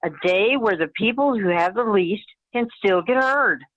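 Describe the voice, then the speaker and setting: Woman acting as a measured, polished city mayor. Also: mid-range voice, lively intonation, muffled recording, lightly acted. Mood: earnest, rousing. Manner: measured political speechmaking. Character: polished, upbeat female city mayor giving speeches. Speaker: amateur actor in a community radio play.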